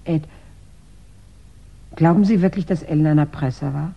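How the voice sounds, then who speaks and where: A woman's voice: low-pitched, moderate pace, creaky. An actress playing a devoted, anxious younger woman in a 1960s German radio crime play, earnest and hesitant. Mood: vulnerable. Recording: dull 1960s radio recording.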